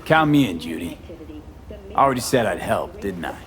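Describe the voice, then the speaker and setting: An actor playing a street-hardened young mercenary in a video game, terse, steady and low-key. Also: male voice, moderate pace, crowd noise. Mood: casual, agreeable. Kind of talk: video game cutscene acting. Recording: music behind the voice.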